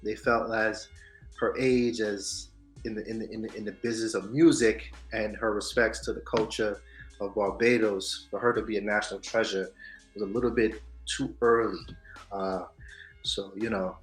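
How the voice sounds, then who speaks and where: A man co-hosting a casual news and culture show, animated, swinging between loud hype and soft asides. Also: on the low side. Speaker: podcast co-host. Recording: echoey room with faint music.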